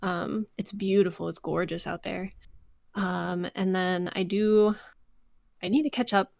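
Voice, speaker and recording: An American woman with some vocal fry, talking casually as an interview guest and telling stories by acting out conversations. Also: fairly low pitch, measured pace, no echo, clean studio sound. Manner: animated storyteller, re-enacts conversations. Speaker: podcast guest, voice actress. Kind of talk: casual interview chat, anecdotes.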